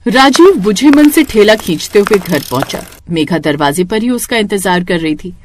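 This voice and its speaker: A woman's voice: mid-range voice, lively intonation, normal speed, slight background noise. A woman narrating a scripted cartoon moral story as a storyteller.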